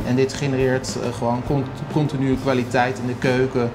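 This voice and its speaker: A man's voice: medium pitch, level tone, brisk. A man in his thirties, a restaurant owner, talking informally in a promotional interview with many hesitations and restarts, in a restaurant. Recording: music bed playing underneath.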